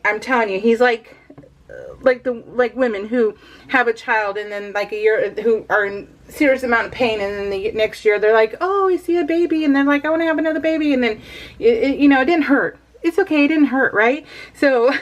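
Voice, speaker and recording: A woman vlogger in her forties chats casually while she works on a scrapbook page. Her voice sits slightly high and she rambles cheerfully, breaking into giggles and restarting her sentences. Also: muffled recording. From California. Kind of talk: casual chat while crafting.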